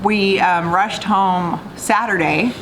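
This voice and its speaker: A woman's voice: mid-range voice, slow. A woman co-hosting a casual vlog, chatty and explanatory as she tells a story in conversation. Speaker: vlog co-host. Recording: clearly noisy background.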